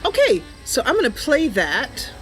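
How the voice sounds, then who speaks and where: Slow-paced woman chatting on stream, music behind. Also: high voice, wildly swooping pitch, a bit bright, low-quality recording. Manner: chatty, giggly, hesitant pauses. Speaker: livestream host. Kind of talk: casual gameplay livestream chat. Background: game music and ambient sounds.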